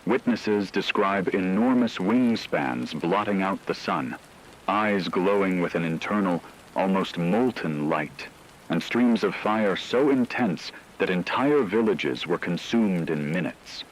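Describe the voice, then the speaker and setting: Guy playing a serious radio newsman, deep voice, dramatic and measured, bit of static hiss behind. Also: poor audio. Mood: grave, urgent. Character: grave male radio news anchor reporting a catastrophe. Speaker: news anchor. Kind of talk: scripted radio news bulletin.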